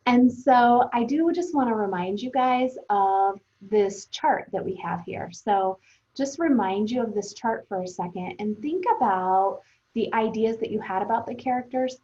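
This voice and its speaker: Lady teacher talking kids thru a read aloud, chatty n expressive, kinda mellow, bit dull audio. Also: a little high, measured pace. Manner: conversational, expressive, addresses pupils directly with questions. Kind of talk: read-aloud lesson for children, modeling thinking aloud.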